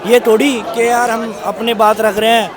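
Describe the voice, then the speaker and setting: A young student protester from Aligarh with a high voice. He speaks in an indignant, rallying way. The protest crowd is clearly heard around him. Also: little pitch movement, normal speed. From Aligarh, India. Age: young adult. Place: outdoor protest.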